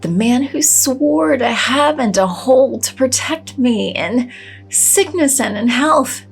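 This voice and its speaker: A woman's voice: high voice, lively intonation, takes it slowly, creaky, breathy edge, soft background music, full character voice. An actress playing a fearful, shaken woman, narrating and acting unsteadily in an audio drama. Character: fearful, shaken wife and schoolteacher.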